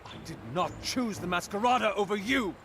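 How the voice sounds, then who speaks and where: An actor playing a weary, guilt-laden but resolute and loyal man in a fantasy game, speaking in a measured, earnest way. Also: high voice, background noise, close to an everyday voice. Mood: anguished. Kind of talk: dramatic fantasy game voice acting. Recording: music behind the voice.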